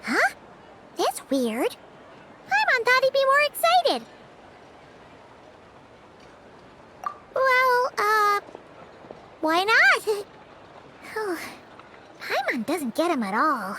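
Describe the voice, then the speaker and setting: A tiny chirpy fairy sidekick for a video game. The voice is extremely high, squeaky and childlike, bouncing around with boastful energy over clear background noise. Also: female voice, wildly swooping pitch, takes it slowly, long pauses, exaggerated voice. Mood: puzzled. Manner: bubbly, refers to self by name. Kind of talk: English video game voice acting.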